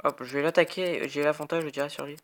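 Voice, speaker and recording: A man's voice: a little high, fairly even intonation, quick pace. A young man who has a cold, giving rambling casual commentary over a video game. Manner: rambling, full of "hop", "bam", "tac" sound words. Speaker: gaming YouTuber.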